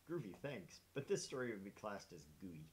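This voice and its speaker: Playful, low-to-middle voiced woman with animated pitch. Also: normal speed, a little hiss or hum. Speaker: gaming co-streamer. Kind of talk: casual game stream banter.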